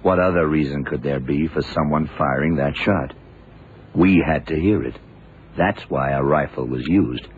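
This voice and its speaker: An actor playing a shrewd, calm, self-assured detective in an old radio drama, speaking in a deliberate, probing, emphatic way. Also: male voice, very deep voice. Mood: confident deduction. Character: shrewd, methodical male detective, calm and sure of himself. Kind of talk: 1970s radio drama acting. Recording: dull, noisy old radio recording.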